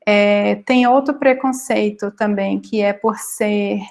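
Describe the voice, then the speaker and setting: A woman's voice: medium pitch, steady tone, slow, creaky, a little mellow. A woman with a Northeastern Brazilian accent speaking informally as a guest panelist, often searching for words as she tells her own experience. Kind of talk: casual personal account in online panel.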